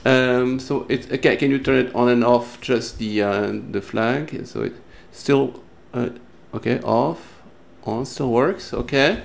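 A man's voice: mid-range voice, measured pace, vocal fry, hardly any pauses. A man chatting and narrating casually as the host of an electronics workshop video. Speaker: host of electronics restoration channel.

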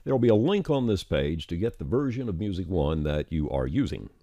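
A man narrating a scripted software tutorial, explaining things plainly step by step. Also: low voice, sing-song, a little fast, no room sound, dull audio. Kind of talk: scripted instructional screencast narration.

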